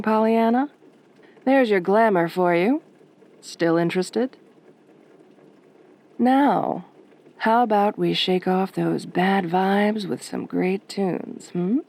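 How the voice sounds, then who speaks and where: Woman playing a velvety, slow-talking late-night radio DJ. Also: medium pitch. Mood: cool, sardonic. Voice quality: velvety, soft. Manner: flirtatious, teasing, wry. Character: sultry, sardonic female late-night radio DJ. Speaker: radio host character. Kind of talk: late-night radio show roleplay, soft spoken.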